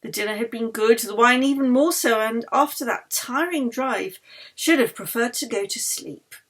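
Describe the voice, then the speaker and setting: A woman author reading her novel aloud as literary narration, measured and expressive. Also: on the high side.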